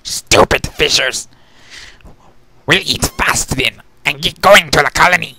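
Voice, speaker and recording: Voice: mid-range voice, unhurried, noisy background, voice pushed up, close to an everyday voice. A performer voicing a menacing, taunting diamond dog brute from a pony cartoon story, hungry and gloating. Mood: gruff, impatient.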